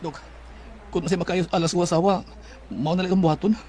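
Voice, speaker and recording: A man's voice: high-pitched, steady tone, fast, a little mellow, natural voice. A man with a Filipino Bisaya accent playing an anxious, protective husband of a sick woman in a radio drama. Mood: anxious, urging.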